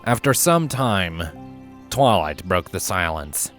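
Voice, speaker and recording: Lively low-voiced man narrating a story. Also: slow, soft background music. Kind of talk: expressive scripted story narration.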